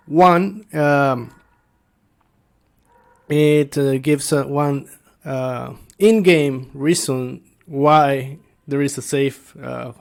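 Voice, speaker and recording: A man giving an informal explainer as a game master, speaking haltingly and searching for his words. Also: fairly high pitch, gravelly. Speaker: tabletop game master and video creator. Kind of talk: informal explainer talk to viewers.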